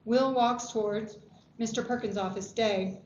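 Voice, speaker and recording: A woman's voice: fairly high pitch, fairly even intonation, unhurried, a little mellow. A woman reading out stage directions as scripted narration at a screenplay table read. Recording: echoey, dull, faintly noisy room recording.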